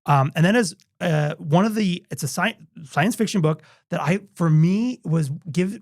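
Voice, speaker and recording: A middle-aged man co-hosting a podcast, talking in a casual, animated way with frequent restarts. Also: fairly high pitch, moderate pace, dry recording, studio quality. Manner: animated, chatty, restarts often. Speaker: podcast co-host. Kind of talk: casual chat.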